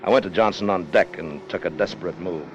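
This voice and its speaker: A man's voice: sits low, quick pace, highs cut off, natural voice. An American man acting a hard, greedy, commanding ship's officer in a 1950s radio drama, clipped and intense. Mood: brooding narration. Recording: noisy vintage 1950 radio recording.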